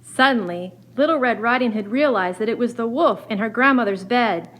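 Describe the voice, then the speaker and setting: A woman's voice: on the high side, normal speed, a bit bright, rough recording. A woman narrating a scripted children's fairy tale as a storyteller. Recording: faint background noise.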